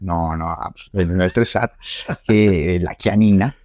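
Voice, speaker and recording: A man from Italy speaking with an Italian accent, a guest in a casual podcast interview, halting with many fillers and restarts. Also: sits low, a little mellow, no echo, studio quality. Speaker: guest scientist.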